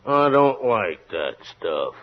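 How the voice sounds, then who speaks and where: Casting: deadpan hillbilly husband, lowish voice, extremely unhurried, old-time radio comedy. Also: male voice. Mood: lazy, deadpan. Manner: deadpan, drawn-out. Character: lazy, deadpan backwoods husband and father. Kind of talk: 1940s radio comedy acting. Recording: dull old radio recording.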